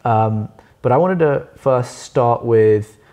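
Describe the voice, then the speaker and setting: A man's voice: medium pitch, takes it slowly, dark tone. A man in his thirties hosting an interview, asking questions in an easygoing, reactive way from a sofa in a living room. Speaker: interview host. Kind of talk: casual interview chat. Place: living room of a furnished house, seated on a sofa.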